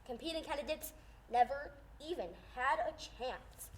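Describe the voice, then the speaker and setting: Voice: high voice, normal speed, natural voice. A young teenager playing a theatrical, folksy co-host in a scripted old-time radio show. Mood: folksy, announcing. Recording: faint background noise.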